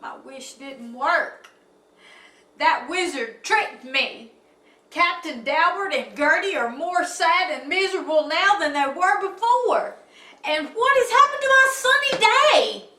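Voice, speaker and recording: Storybook bullfrog boy played by a woman: very high, plaintive, earnest and easily upset; roomy, distant sound. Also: expressive, slow, pushed and bright, heavily acted. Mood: sad, upset. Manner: plaintive, exclaiming. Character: sad, sobbing young male bullfrog, frightened and earnest.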